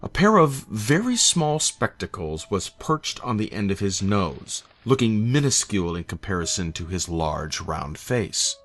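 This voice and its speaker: A man reading a scripted horror story as narrator, in a measured, literary manner. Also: lowish voice, big pitch swings, a bit bright. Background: faint eerie music.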